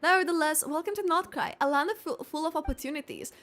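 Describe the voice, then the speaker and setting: A woman's voice: sits high, fast, fairly bright tone, voice pushed up. A woman voicing a courteous, eager guild receptionist from a fantasy game, in a formal, polite manner. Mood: polite, chirpy.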